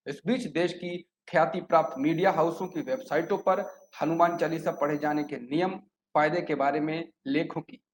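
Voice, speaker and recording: A man presenting a scripted news report in an explanatory way, occasionally stumbling over words. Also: on the high side. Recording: echoey room with clear background noise.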